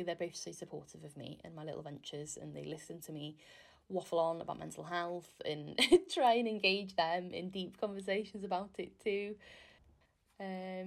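A young woman from Wales hosts her own podcast, talking on her own in a relaxed, rambling way with plenty of fillers. Her first language is Welsh. Her voice has a noticeable vocal fry running through it. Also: on the low side. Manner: chatty, self-deprecating, rambling with fillers. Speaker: podcast host. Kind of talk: casual solo podcast monologue.

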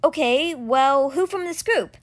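Woman doing an anxious, overwhelmed teen boy. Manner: high, strained and rushed.

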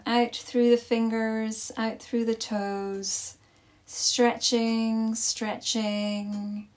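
A woman leading a guided yoga nidra class as instructor, speaking soothingly in short instructive phrases with long pauses. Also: medium pitch, darkish tone.

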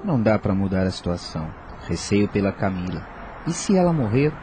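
A man's voice: lowish voice, big pitch swings, fairly quick, loud music behind the voice. A man playing a worried, guilt-ridden husband and father, earnest and troubled, in a radio soap opera. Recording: noisy audio with ambient sound.

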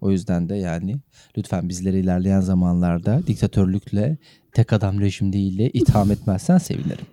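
A man's voice: sits low, fast, mellow. A man hosting a chatty podcast, speaking in playful, tongue-in-cheek and elaborately formal phrases. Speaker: podcast host. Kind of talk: playful banter with a mock-formal radio introduction.

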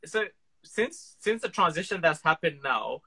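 Bright-voiced man host, rambling. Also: on the high side, very animated, a little slow, creaky, slightly rough. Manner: rambling, filler-heavy questions. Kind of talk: interview chat.

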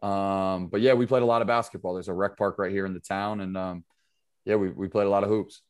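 A man's voice: sits low, fairly quick, clear, resonant tone. A man from upstate New York, a basketball trainer, talking loosely and casually as a podcast guest. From upstate New York, USA. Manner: relaxed, loose, filler-heavy talk. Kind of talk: casual interview chat.

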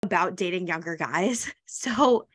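A woman's voice: lowish voice, expressive, unhurried, slightly rough, no room sound. A woman in her late twenties hosting a podcast, chatting casually in a bubbly way with many fillers. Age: 20s. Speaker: podcast host. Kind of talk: casual chat.

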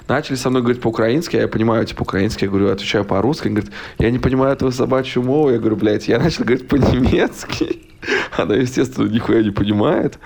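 A man's voice: lowish voice, vocal fry, a little mellow. A man of about thirty from Russia, a podcast guest rambling in casual chat, full of fillers, restarts and blunt profanity.

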